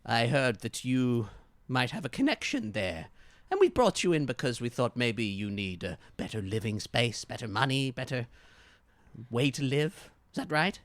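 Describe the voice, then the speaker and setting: A man's voice: mid-range voice, sing-song, normal speed, no echo, pitched above the performer's own voice, lightly acted. A man doing a blustering Irish detective. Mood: probing. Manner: accusing, loud, sputtering. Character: thin-haired male detective, pushy and blustering.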